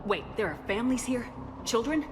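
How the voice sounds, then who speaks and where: An actress playing a resolute, caring military commander in a video game cutscene, speaking firmly and directly. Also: female voice, a little high, animated, a little fast, background noise, lightly acted. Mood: alarmed.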